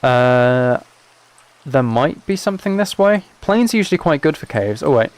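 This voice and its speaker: A man with a mid-pitched voice chats casually as he plays a game on a livestream. He rambles along, thinking aloud in an understated way. He speaks into a microphone set up in front of him. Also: lively intonation, normal speed, dry recording. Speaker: live streamer playing a video game. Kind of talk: casual gameplay livestream chat.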